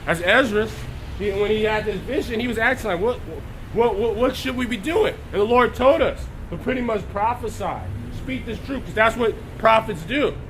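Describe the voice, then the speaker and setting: A high-pitched man preaching live to an online audience, his voice hoarse as he recovers from being sick. He keeps clearing his throat and breaks off to greet viewers by name. A faint background hiss sits under the stream. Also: moderate pace, a bit bright, poor audio. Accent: Texas.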